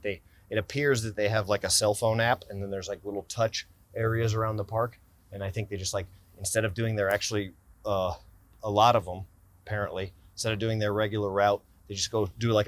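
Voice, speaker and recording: A man in his forties with an American accent, talking casually about his everyday carry gear while seated in a city park, rambling and restarting sentences. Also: lowish voice. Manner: rambling, self-interrupting, enthusiastic about gear. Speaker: gear company owner and vlogger. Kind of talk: casual gear-review vlog talk. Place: outdoor city park, seated on stone ledge. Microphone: camera on tripod a few feet away, handheld close-ups.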